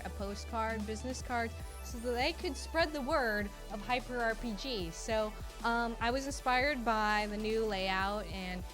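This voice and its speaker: A woman co-hosting a live gaming show, bubbly and enthusiastic. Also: on the high side. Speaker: stream show co-host. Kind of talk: casual live-stream chat.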